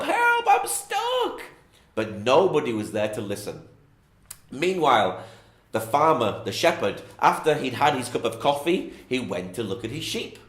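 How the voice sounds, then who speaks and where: Male storyteller, highish voice, very expressive intonation, sing-song repeats, children's bedtime tale. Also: roomy sound.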